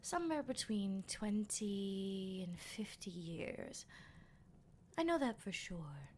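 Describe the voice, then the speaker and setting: A woman playing a teasing, sardonic female vampire captor in an ASMR roleplay, speaking in an unhurried, breathy half-whisper. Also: medium pitch, big pitch swings, darkish tone, slight background noise. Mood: wry, toying. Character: playful, menacing female vampire captor, decades old.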